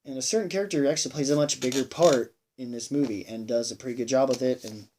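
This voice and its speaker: Male movie reviewer, mid-pitched, casual and unscripted, halting but emphatic, somewhat tired, slightly noisy recording. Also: warm tone. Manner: rambling, halting, repeats phrases for emphasis; sweary, emphatic. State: tired, sighing.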